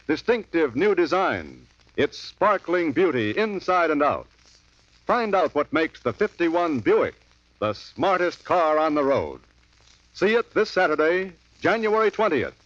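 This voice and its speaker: An upbeat male radio announcer, emphatic as he reads sponsor messages and show credits. His pitch sits slightly high and moves in lively swings. The sound is muffled old broadcast audio with faint music behind him. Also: no room sound.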